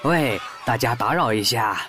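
A man's voice: mid-range voice, expressive, very noisy, lightly acted. Man acting coaxing stranger in workman's role. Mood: coaxing, friendly. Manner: coaxing, polite, deliberate. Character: friendly-seeming adult male stranger, workman, coaxing children. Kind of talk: Chinese audio drama acting.

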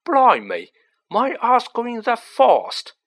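A storyteller voicing a gossipy, excitable young working-class man who talks colloquially and dramatically, in an audiobook reading. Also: medium pitch, slow pace, voice pushed up, full character voice. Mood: gossipy, excited. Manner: colloquial, dramatic, dropped grammar, tag questions.

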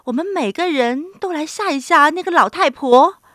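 A bossy storybook lion, performed by a woman, showy and loud. The voice is bright and swings widely in pitch, with a commanding, self-important manner. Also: lively intonation, moderate pace, dry recording, clean studio sound. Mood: scheming. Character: boastful, bossy male lion claiming a crown.

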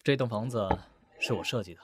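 A man's voice: mid-range voice, a little fast, heavy background noise, lightly acted. A man playing a polite, hospitable elder brother in an audio drama. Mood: courteous. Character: courteous adult elder brother, an architect.

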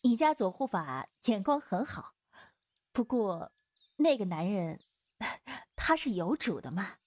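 Actress as a bantering, wistful Taoist swordswoman, unhurried. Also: mid-range voice, very animated, a little mellow, dry recording. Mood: sheepish, hedging. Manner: hesitant, roundabout, bantering. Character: easygoing Taoist swordswoman, apologetic, playful, secretly lovelorn. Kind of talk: Chinese wuxia audio drama acting.

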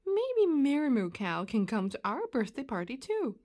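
A woman voices an eager little boy in a storybook. The pitch is high and bouncy, with an impatient, questioning manner. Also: wildly swooping pitch, moderate pace, darkish tone. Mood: delighted.

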